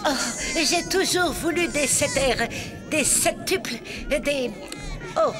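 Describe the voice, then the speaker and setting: A woman voicing an overwrought animal mother of many newborns in a children's cartoon, speaking haltingly. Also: high-pitched, over loud music. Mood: tearful, overwhelmed.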